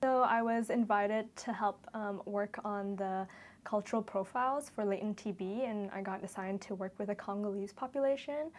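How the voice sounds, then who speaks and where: A woman in her twenties who grew up in Malaysia gives a reflective, interview-style testimonial. Her voice sits at an average pitch for a woman. She talks earnestly, linking loose phrases as she thinks back over her experience. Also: normal speed. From Kuala Lumpur, Malaysia. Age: 20s. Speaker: medical student-to-be sharing a shadowing experience.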